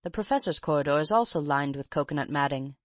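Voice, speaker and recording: A woman reading a keen male detective in an audiobook, with a measured and probing manner of speaking. The voice sits fairly low for a woman. It is recorded close and dry. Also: lively intonation, dry recording.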